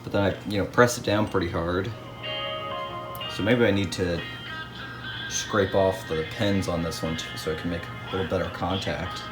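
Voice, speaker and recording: A man's voice: low-pitched, fairly even intonation, moderate pace, over music. A man giving casual hands-on repair commentary, thinking aloud in a hesitant way as he works. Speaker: radio restoration hobbyist. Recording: clear background noise.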